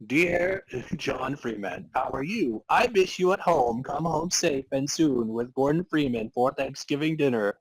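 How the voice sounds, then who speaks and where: A man's voice: mid-range voice, lively intonation, unhurried, husky, voice pushed up, poor audio. A man voicing a doting, affectionate mother in an amateur dramatic reading of a letter. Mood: fond longing.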